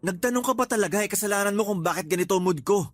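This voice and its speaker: A man's voice: high voice, very fast, a bit bright, faint music behind. A man with a Filipino accent playing a lovestruck young suitor in a radio drama, earnest, hurt and defensive. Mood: hurt, accusing. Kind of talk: radio drama acting in casual dialogue.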